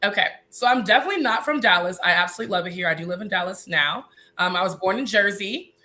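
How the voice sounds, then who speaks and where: Guest: 30s woman, stuffy nose, bright nasal voice, laughs easily. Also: medium pitch, expressive, fast talker. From Mississippi, US. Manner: chatty, laughs often. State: congested, a little nasal. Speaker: guest, nurse. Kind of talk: casual interview.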